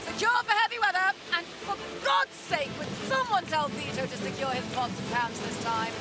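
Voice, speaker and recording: A woman's voice: high voice, moderate pace, a crowd in the background, lightly acted. A woman playing a commanding, impatient ship's captain, barking orders with authority in an audio drama. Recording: loud music, storm and crew behind.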